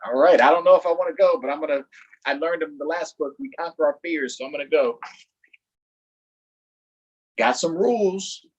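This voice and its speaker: A man's voice: a little high, moderate pace, pauses often, husky, lo-fi sound. A man reading aloud to children as a storytime host, playfully putting questions and asides to his listeners. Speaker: storytime host, public official.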